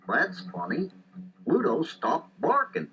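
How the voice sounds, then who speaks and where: A man's voice: on the high side, wildly swooping pitch, exaggerated voice. A man voicing a dim, good-natured, bumbling cartoon dog with a hollow, folksy drawl in a children's audio storybook. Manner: slow-witted, folksy, bumbling. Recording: dull lo-fi tape, music behind.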